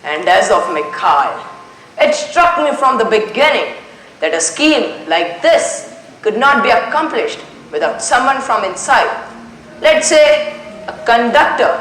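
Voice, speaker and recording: A young performer in a school play acting as a male detective, declaiming with deliberate, dramatic pauses. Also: medium pitch, very animated, fairly bright tone, a little hiss or hum, full character voice. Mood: revealing. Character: theatrical male detective solving a murder. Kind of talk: amateur school stage play monologue. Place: school stage. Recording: echoey stage recording with music behind.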